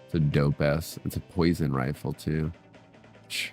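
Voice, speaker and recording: A man with a deep voice hosts a game stream in a casual, laid-back way. He talks in slang and swears freely. Faint music plays behind him. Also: steady tone, measured pace, darkish tone. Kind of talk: casual live game commentary.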